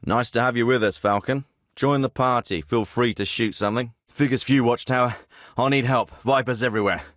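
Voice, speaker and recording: A man's voice: medium pitch, fairly even intonation, brisk, a bit bright, dry recording, lightly acted. A man voicing a dry-witted special forces officer in a video game, giving orders and briefings in clipped military radio talk. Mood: hushed.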